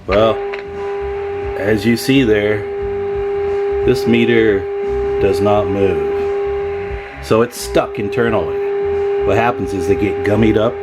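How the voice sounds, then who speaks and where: Low-voiced American repairman, music behind. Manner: folksy, plainspoken. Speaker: radio repair technician. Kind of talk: casual hands-on demonstration.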